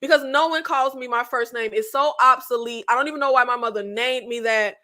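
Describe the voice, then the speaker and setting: A woman in her late thirties chatting casually with her viewers as a channel host, animated and slangy, often laughing. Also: fairly high pitch, a little fast. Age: 30s.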